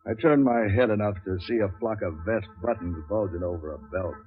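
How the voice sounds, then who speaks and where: An actor playing a cynical, wisecracking private investigator in deadpan, sardonic 1940s radio noir style. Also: male voice, fairly low pitch, a little fast, close to an everyday voice. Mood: wry narration. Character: cynical, wisecracking hard-boiled male private investigator narrating his case. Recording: muffled old 1940s radio recording, music.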